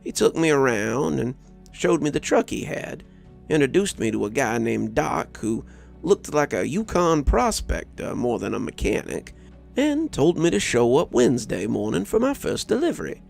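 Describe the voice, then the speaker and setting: Guy reading a story, reflective, gravelly creak in his voice, pitch moves around a lot, faint music. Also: very animated, normal speed, a little mellow. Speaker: narrator reading a horror story. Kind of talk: scripted first-person story narration.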